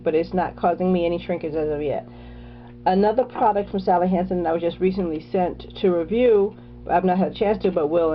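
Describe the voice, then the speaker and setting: A woman's voice: on the low side, highs cut off. A woman casually talking through her nail care products, chatty, with many fillers and restarts. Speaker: beauty vlogger.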